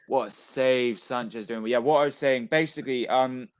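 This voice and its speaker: A young man in his twenties from Wales, chatting casually while watching a football match and blurting out loud reactions to goals. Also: mid-range voice, unhurried.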